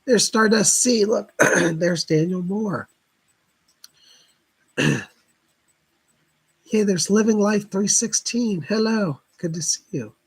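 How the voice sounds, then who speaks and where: A man hosting a live psychic reading stream, hoarse from laryngitis, chatting casually and clearing his throat often. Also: high-pitched, takes it slowly, long pauses. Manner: chatty, greets viewers by name, keeps clearing his throat.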